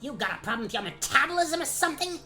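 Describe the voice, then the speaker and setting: A woman's voice: high-pitched, very animated, very fast, sharp tone, soft background music, voice pushed up, full character voice. A woman voicing a crabby, half-blind old witch in a comedic radio play, sly, blustering and snappish. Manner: wheedling endearments, flustered bluster, snappish. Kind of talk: comedic radio play, gag-dub cartoon acting.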